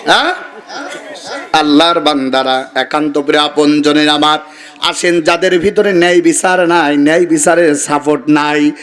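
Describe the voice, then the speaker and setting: A man's voice: fairly high pitch, level tone, moderate pace, fairly bright tone. A Bangladeshi man preaching an Islamic sermon emphatically, repeating phrases and urging his listeners to respond. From Kushtia region, Bangladesh. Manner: emphatic, rhetorical repetition, exhorting listeners to answer. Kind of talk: fiery sermon with call-and-response. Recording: live gathering with crowd behind. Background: crowd of listeners.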